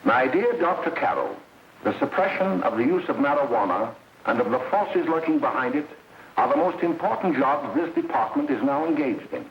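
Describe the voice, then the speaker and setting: A man's voice: on the high side, animated, normal speed. An American man acting in a 1930s film as a stern, alarmist school official, addressing parents in grave, emphatic, formal oratory. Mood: solemn, formal. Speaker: speaker addressing a parents' meeting. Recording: dull, noisy 1930s film soundtrack, roomy echo.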